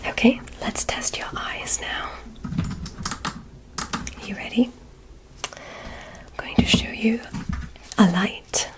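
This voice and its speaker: A woman playing a curious, gently condescending alien medical examiner in an ASMR roleplay, speaking in a soft, breathy, measured whisper with clinical instructions. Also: medium pitch, fairly even intonation, lightly acted. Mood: calm, clinical. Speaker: ASMR performer.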